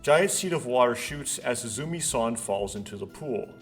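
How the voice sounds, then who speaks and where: A man doing a casual let's play, haltingly thinking aloud and reading visual novel text out. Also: mid-range voice, lively intonation. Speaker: let's player. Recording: background music behind voice. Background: game music.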